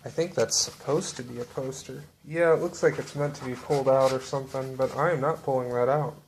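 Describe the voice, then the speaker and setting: Guy with a gravelly voice, rambling thru his book collection, lots of ums and uhs. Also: medium pitch, fairly quick, slight background noise, low-quality recording. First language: English. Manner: relaxed, rambling with many fillers. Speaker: collector showing books. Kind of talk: casual show-and-tell.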